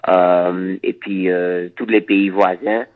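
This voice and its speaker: Man, first language Dutch, from Suriname, deep voice, hesitant repeats, tinny narrow recording. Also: little pitch movement. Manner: halting, repeats words, thoughtful. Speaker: reggae musician. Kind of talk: interview answers.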